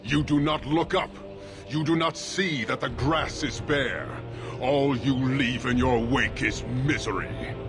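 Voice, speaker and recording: A man playing an imposing, severe non-human warlord in a video game, speaking deliberately and with contempt. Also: mid-range voice, lightly acted. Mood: cold contempt. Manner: slow, deliberate, declamatory. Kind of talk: video game cutscene acting. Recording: loud music behind the voice.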